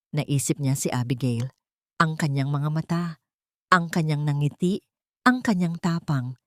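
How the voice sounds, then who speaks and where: A woman reads a dramatic story as an audiobook narrator. Her voice sits fairly low for a woman. The sound is dry, with little room in it. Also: darkish tone, no room sound.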